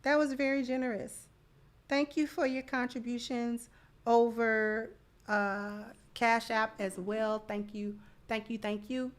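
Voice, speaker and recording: A woman's voice: on the high side, level tone, takes it slowly. A woman hosting a livestream, chatting casually and giving commentary to her supporters. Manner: effusive in thanking supporters. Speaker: livestream host.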